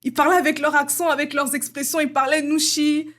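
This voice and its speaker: Young woman chatting casually, higher voice. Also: flat delivery, fairly quick, fairly bright tone. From Congo. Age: young adult. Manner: casual, many fillers like "genre" and "euh". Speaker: guest. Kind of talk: casual interview conversation.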